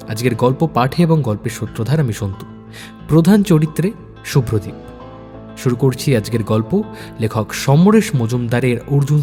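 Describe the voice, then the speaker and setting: A man's voice: mid-range voice, warm tone, long reverb tail. A man hosting and narrating an audio story in a scripted storytelling style. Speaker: story narrator and channel host.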